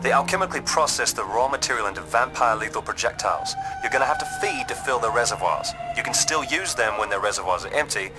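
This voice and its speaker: An actor playing a wry, cautious spy adviser in a game, with a dry, businesslike delivery. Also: male voice, medium pitch, expressive, fast, hardly any pauses, over music, natural voice, poor audio. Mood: cautioning. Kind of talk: video game cutscene acting.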